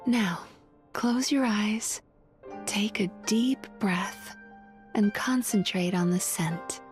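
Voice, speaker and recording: A woman voicing a gentle, playful young female therapist in a video game, with a soothing, teasing, polite manner. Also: mid-range voice, slow pace, soft background music, lightly acted. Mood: calm, soothing. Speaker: game character.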